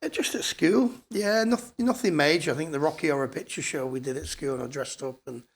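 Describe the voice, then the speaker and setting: A man in his fifties with a northern English accent and a fairly high-pitched voice. He reminisces in a casual, colloquial way, candid and chatty like a guest telling his life story on a podcast. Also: brisk. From born in Scotland, grew up in Blackpool, northern England. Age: 50s.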